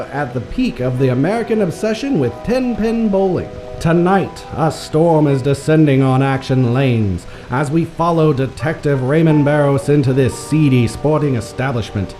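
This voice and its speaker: A man's voice: a little high, a little mellow. A man narrating a radio mystery introduction in a grand, theatrical scripted style, addressing the listeners. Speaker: announcer and narrator.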